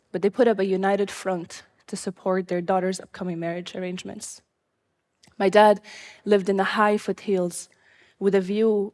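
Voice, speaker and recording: A young woman from Syria telling her own life story live, in an earnest, measured way. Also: mid-range voice, a little mellow.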